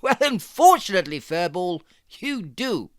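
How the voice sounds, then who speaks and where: A performer voicing a jaunty, fussy fox who gives playful, step-by-step drawing lessons for children in a theatrical, deliberate, encouraging way. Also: mid-range voice, big pitch swings, dry recording, clean studio sound. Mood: playfully bossy. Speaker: presenter of children's drawing tutorial.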